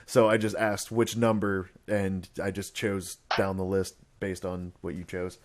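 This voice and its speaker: A man's voice: low voice, flat delivery, unhurried. A young man hosting a casual podcast chat. He rambles, often restarts his sentences and laughs between thoughts. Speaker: podcast host.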